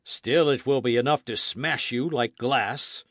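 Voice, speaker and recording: A man's voice: mid-range voice, unhurried, fairly bright tone, no echo, studio quality. A man playing a stubborn, objecting skeptic. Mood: skeptical. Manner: insistent, objecting. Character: doubtful, stubborn male skeptic.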